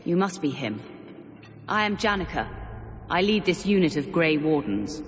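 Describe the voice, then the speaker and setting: Low-voiced, zealous warden commander. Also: female voice, sing-song, normal speed. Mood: startled, intense, then grave, persuasive. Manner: earnest, authoritative, insistent. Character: zealous, commanding woman warden mage, proud and determined. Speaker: military commander. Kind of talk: dramatic fantasy game acting. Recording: long reverb, faint ambient noise.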